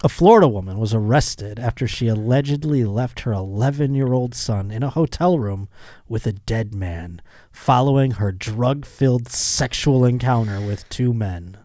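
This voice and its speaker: A man's voice: mid-range voice, moderate pace, dark tone, dry recording. Joking male podcast host, dry close sound. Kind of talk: casual comedic chat, reading out odd news.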